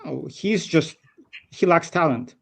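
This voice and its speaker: A man's voice: a little high, animated, takes it slowly, vocal fry. A man on a casual football panel, bluntly dismissing players.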